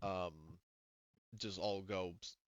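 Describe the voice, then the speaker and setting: A man working as game master, narrating and chatting casually in a halting way, thinking aloud and swearing freely. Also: deep voice, flat delivery, clear, resonant tone, dull audio.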